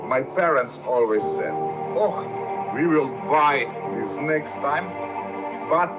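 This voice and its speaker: A man from Slovenia, telling his childhood story slowly and solemnly. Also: mid-range voice, expressive. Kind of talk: personal story told to camera. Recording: loud music underneath.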